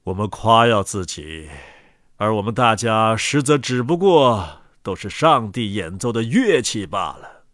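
A man's voice: lowish voice, sing-song, unhurried, a bit bright, dry recording, studio quality. A man narrating a fairy tale in a solemn, reflective, scripted style. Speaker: storyteller.

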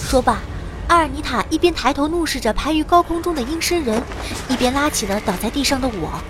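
A woman's voice: high-pitched, animated, fairly quick, noisy background. A woman playing a perky, self-admiring young magician who theatrically narrates an adventure in a fantasy audio drama. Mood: dramatic. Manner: theatrical, playful storytelling.

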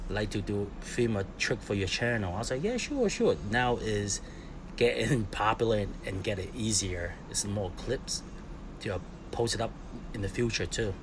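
A man in his forties from Hong Kong, a skateboarder being interviewed, telling stories casually in English, laughing often and acting out quotes. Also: on the low side. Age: 40s. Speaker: guest, skateboarder. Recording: clear background noise.

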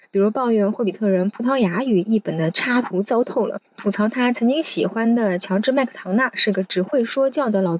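Mid-pitched woman hosting a podcast. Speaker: podcast host.

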